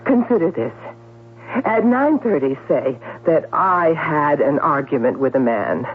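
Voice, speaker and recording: A woman's voice: low-pitched, expressive, unhurried, slightly rough, exaggerated voice. An actress playing a shrewd, self-possessed middle-aged newspaperwoman in a radio drama, speaking emphatically and articulately. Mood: urgent, persuasive. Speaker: actor. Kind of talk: 1970s radio drama acting. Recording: narrowband old radio broadcast with hum.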